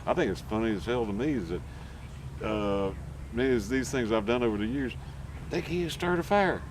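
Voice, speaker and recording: A man's voice: medium pitch, lively intonation, moderate pace. Folksy Southern man outdoors. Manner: folksy, loose, full of homespun sayings. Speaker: author and interview guest. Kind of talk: casual interview chat. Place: outdoors at a rural gathering. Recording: noisy outdoor field recording.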